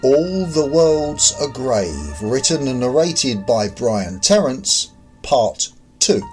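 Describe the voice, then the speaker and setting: A man's voice: mid-range voice, big pitch swings, takes it slowly, a little mellow, soft background music. A man who is an author narrating his own story in a scripted audiobook style.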